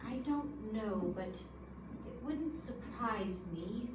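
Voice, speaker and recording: A woman's voice: fairly high pitch, lively intonation, extremely slow. A woman playing an anxious, earnest man with information to give in an old-time radio drama. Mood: uneasy, insistent. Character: anxious, earnest male informant fearing for his life. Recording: echoey room, distant mic, background noise.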